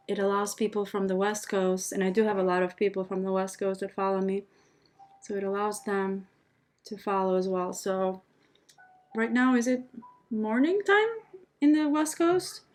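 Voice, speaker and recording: A woman from Albania speaking casually and chattily as she hosts a live craft tutorial, friendly with her viewers. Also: fairly high pitch. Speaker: host of a craft tutorial livestream.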